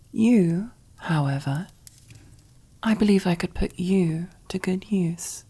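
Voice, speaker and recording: A woman playing a commanding, possessive, silkily menacing mob boss in an ASMR roleplay, with a breathy, husky near-whisper and slow, teasing, condescending delivery. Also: on the low side, a little mellow, exaggerated voice. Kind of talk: ASMR roleplay, close whispered character acting.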